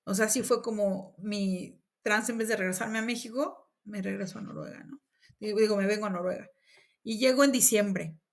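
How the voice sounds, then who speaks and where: Mexican woman chatting as a guest, mid-pitched, storytelling, acts out what people said. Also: normal speed. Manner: lively, acts out quoted dialogue in her telling. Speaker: interview guest. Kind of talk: casual conversational storytelling.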